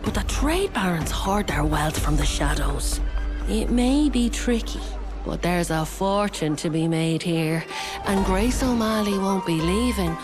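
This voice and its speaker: An actress playing a worldly storyteller, giving dramatic scripted narration for a fantasy video game. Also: female voice, on the low side, animated, measured pace. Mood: knowing, dramatic. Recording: loud music under the voice.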